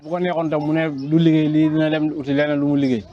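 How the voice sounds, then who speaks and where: A man's voice: fairly high pitch, level tone, rapid-fire. A man with a Senegalese accent giving casual testimony in a documentary. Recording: dull, muffled film soundtrack.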